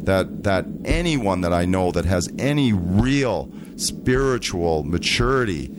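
A man speaking earnestly and slowly as an interview guest, often restarting his sentences. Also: deep voice, lively intonation, background noise. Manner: slow, deliberate, with frequent restarts. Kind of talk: earnest conversational monologue.

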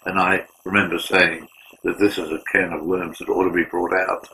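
An older man, a former UN war crimes investigator, answering interview questions in an earnest, measured way with frequent restarts. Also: sits low, little pitch movement, pushed and bright, a little hiss or hum, low-quality recording.

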